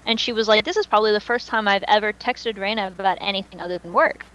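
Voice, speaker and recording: A woman's voice: mid-range voice, quick pace, fairly bright tone, poor audio. Chatty, opinionated woman in casual talk. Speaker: fan podcast host. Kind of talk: casual fan chat.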